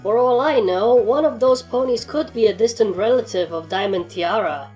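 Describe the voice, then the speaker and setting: A woman's voice: on the high side, moderate pace, darkish tone, soft background music, natural voice. A woman voicing a blunt, battle-hardened pony trainer in a story, with a dry, sarcastic way of talking. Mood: dry, grumbling.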